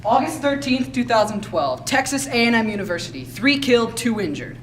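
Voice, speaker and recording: A woman's voice: on the high side, sing-song, brisk. A woman reading scripted dramatic narration for a stage readers theater piece. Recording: noisy, far from mic.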